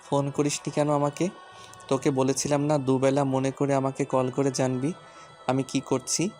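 A man playing a stern, gruff husband in an audio story, curt and commanding as he teases and scolds his wife. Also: medium pitch, monotone, normal speed, a little mellow, soft background music, close to an everyday voice. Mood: irritated, demanding. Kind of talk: audio story character acting.

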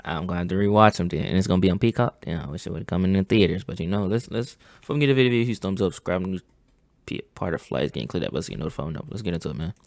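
Young guy reacting on a headset, slangy and hyped, lowish voice, dry close sound. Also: rapid-fire, creaky, dry recording. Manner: slangy, excitable, says bro a lot. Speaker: reaction channel host. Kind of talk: casual reaction commentary. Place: home gaming setup, indoors.

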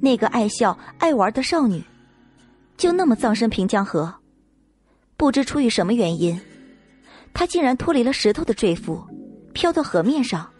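A woman's voice: a little high, very animated, moderate pace, long pauses, a little mellow, slightly rough, faint music behind. A woman narrating a scripted novel as an audiobook reader.

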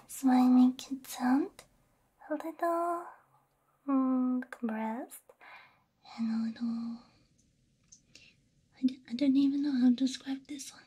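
Breathy whispering woman, slow ASMR roleplay. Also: high voice, steady tone. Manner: gentle, soothing, unhurried, soft hums between phrases. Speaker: ASMR VTuber playing a robot repair technician. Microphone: close binaural ASMR microphone.

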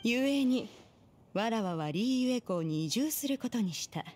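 A woman's voice: a little high, expressive, unhurried, darkish tone. A woman playing a proud, aloof immortal master in an anime game, speaking in imperious, formal, archaic language. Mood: haughty, composed. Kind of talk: Japanese anime game voice acting.

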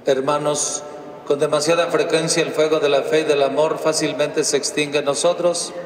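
A middle-aged man, a priest, leading prayers and a blessing in a solemn, slow, measured way, into a handheld mic in a large church. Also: on the high side, little pitch movement, distant mic. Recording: echoey church with long reverb, from afar.

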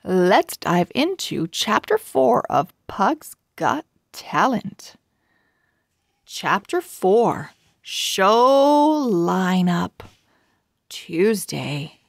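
A woman reading a children's storybook aloud deliberately, word by word, with expression for young readers. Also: medium pitch, big pitch swings, vocal fry, no room sound, studio quality. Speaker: children's read-aloud host.

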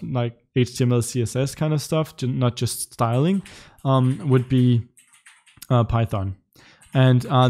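A young man in his twenties from Denmark, a native Danish speaker, casually answering chat questions on a livestream from his room, relaxed and chatty with light laughs. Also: mid-range voice. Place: indoor home room in front of a webcam.

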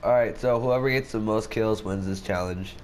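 A man's voice: on the low side, moderate pace, darkish tone, a little hiss or hum. A young man with a sick, cracking voice, giving laid-back commentary while playing a game. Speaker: gaming channel host. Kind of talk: casual gameplay commentary.

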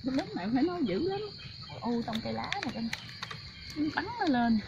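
A woman's voice: on the high side, lively intonation, normal speed, gravelly, muffled recording. A woman with a southern Vietnamese Mekong Delta accent, chatting casually and exclaiming outdoors, picked up by a nearby phone. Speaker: mushroom picker in a vlog. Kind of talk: casual chat. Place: outdoors in a rural coconut garden. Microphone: phone held by companion nearby. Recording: noisy outdoor phone recording with insects.

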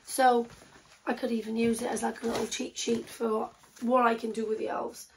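A young woman with a hoarse, croaky voice from a sore throat, chatting casually through a haul video in a small bedroom, rambling with lots of ums and self-corrections. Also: fairly high pitch, little pitch movement, normal speed, darkish tone, slight background noise, rough recording. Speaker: vlogger.

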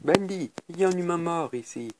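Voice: medium pitch, lively intonation, brisk, background noise, natural voice. An amateur dub performer playing a worried cartoon wolf who questions a friend reproachfully. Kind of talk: amateur French comic dub.

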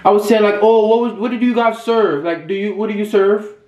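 A young man, vlogging in animated casual chat with a theatrical, excitable manner. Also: high voice, a little fast. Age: young adult. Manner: theatrical, excitable, bursts into shouting. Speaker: vlogger making prank calls. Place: small bare bedroom. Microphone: camera a little way off. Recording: roomy echo, music and chatter behind.

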